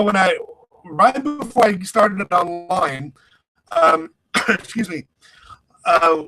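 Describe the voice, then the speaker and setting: A middle-aged man hosts a live webinar in a high, bright voice. He rambles with lots of ums and restarts. His audio comes through a computer mic on a video call and sounds crackly. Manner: rambling, many fillers and restarts. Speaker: webinar host.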